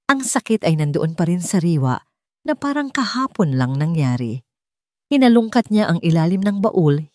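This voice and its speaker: Low-pitched woman narrating a dramatic story. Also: a little mellow, no echo, studio quality.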